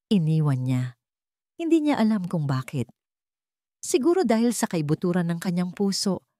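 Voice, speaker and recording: A Filipino woman reading a scripted romance story as narrator, with dramatic, deliberate phrasing. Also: on the low side, a little mellow, no room sound, clean studio sound.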